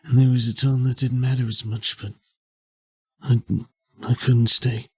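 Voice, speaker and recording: A man playing a bitter, guilt-ridden exile in a tabletop roleplay, with a husky voice and a terse, brooding, pointed delivery. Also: mid-range voice, level tone, measured pace, a little mellow. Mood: guarded, regretful. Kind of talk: improvised tabletop roleplay dialogue.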